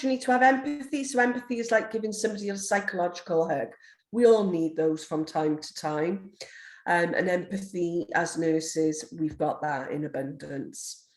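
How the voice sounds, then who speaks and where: A woman with a deep, gruff voice, a nurse educator giving a recorded online lecture in a forthright, quick manner with frequent ums. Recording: roomy echo.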